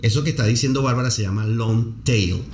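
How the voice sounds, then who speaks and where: A man's voice: medium pitch, a little fast, a little mellow, slight background noise. A man hosting a podcast interview, talking at length in an effusive way and explaining marketing terms to listeners. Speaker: podcast host. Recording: echoey room with faint music.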